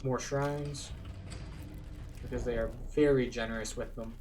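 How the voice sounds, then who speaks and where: A man's voice: mid-range voice, fairly even intonation, measured pace, darkish tone, recorded from a distance. A man giving casual, explanatory commentary as a guide through a video game playthrough. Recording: faint noise behind voice.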